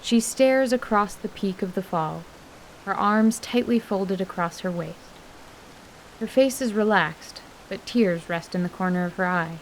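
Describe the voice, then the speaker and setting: A woman reads scripted fantasy as a narrator, in a mid-pitched voice. Her delivery is measured and literary. A faint hiss sits behind her. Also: poor audio.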